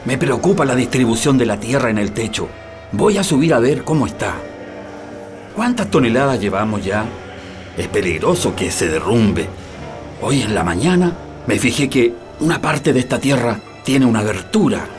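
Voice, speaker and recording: A man's voice: medium pitch, very animated, moderate pace, music in the background, natural voice. Watchful Chilean prisoner voiced by a man. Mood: worried. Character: watchful, practical male prisoner digging a tunnel. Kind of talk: radio drama acting.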